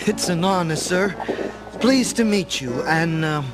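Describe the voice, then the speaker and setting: An earnest young romantic hero in a radio play. The voice sits high, hesitant and politely bashful, over clearly audible music. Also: male voice, expressive, a little slow. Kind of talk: dramatic audio play acting.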